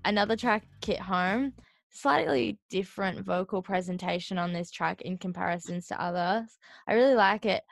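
A woman's voice: medium pitch, normal speed, creaky, dry recording, faint music behind. An Australian woman hosting a radio interview, asking long, friendly questions about an album.

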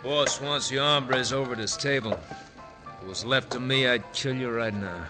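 Hard, sharp-voiced surly gunslinger. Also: male voice, mid-range voice, measured pace, soft background music. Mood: angry, threatening. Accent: American. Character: surly, hot-headed, threatening western gunslinger henchman. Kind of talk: 1950s radio western acting.

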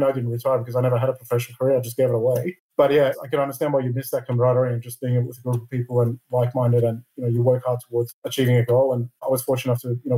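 A middle-aged man born in Iran, answering interview questions in a measured way and often repeating a word as he starts a phrase. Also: mid-range voice, mellow, low-quality recording. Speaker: interview guest, lawyer and board chair.

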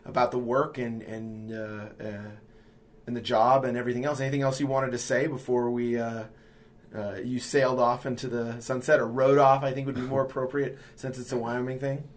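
A man's voice: mid-range voice, flat delivery, normal speed. A man hosting a podcast as the editor, talking casually and hesitantly with many fillers.

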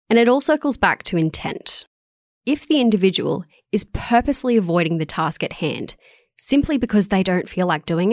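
An Australian woman narrates a written article. Her voice sits a little low, and the sound is very dry. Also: dry recording. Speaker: writer reading own article.

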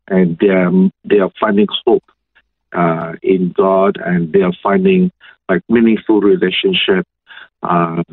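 Malaysian pastor guy, middle aged, lowish voice, halting interview answers, tinny phone-like call audio. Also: slightly rough, no echo. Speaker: senior pastor, interview guest. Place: remote video call.

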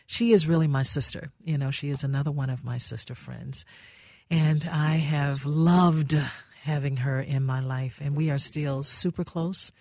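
A woman's voice: low voice, steady tone, unhurried, no echo. Woman radio host reminiscing on air, dry studio sound. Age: older. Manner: warm reminiscing, acts out voices in her anecdotes. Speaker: radio talk show host. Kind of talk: heartfelt farewell storytelling on air. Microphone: studio microphone.

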